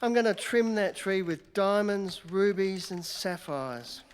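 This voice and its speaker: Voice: medium pitch, lively intonation, a little slow, slight background noise, close to an everyday voice. A stage performer playing a cheerful young male newspaper reporter in a live radio play, teasing and fond on the phone. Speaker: amateur radio play actor. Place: concert hall stage. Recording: long reverb of a concert hall.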